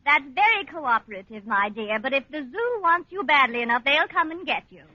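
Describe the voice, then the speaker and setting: A woman's voice: high voice, sing-song, fairly quick. An actress playing a smug, catty woman teacher with sugary, arch condescension in a 1940s radio comedy. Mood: sweetly catty. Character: catty, smug, affected female teacher, a romantic rival. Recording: muffled noisy radio recording with audience.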